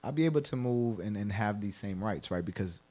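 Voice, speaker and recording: A man's voice: fairly low pitch, animated, fairly quick, a little mellow. A man from Kenya talking in a conversational radio interview, explaining things and often checking in with "right?". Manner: explanatory, rhetorical "right?" tags. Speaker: guest explaining the census.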